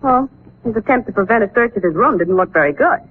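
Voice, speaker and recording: A woman's voice: mid-range voice, lively intonation, quick pace, natural voice. A woman plays a wry, sensible young newspaperwoman in a vintage radio drama. She is articulate and dry-witted, heard through a narrow broadcast with faint noise. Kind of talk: 1940s radio drama acting. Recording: muffled, noisy 1940s radio recording.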